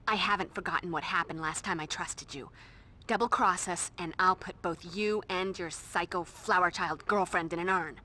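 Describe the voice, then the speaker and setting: A woman's voice: lowish voice, moderate pace, pushed and bright. An actress playing a tough young female vampire slayer who talks in a dry, sarcastic, commanding way. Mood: wry. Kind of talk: video game voice acting.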